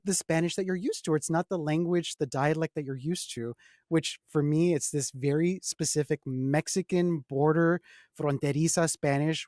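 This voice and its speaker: A man with a slightly high voice hosts a podcast in a reflective, easygoing way. He laughs easily between thoughts. His voice sounds dry and close to the mic. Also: a little mellow, dry recording. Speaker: host. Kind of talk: casual podcast chat.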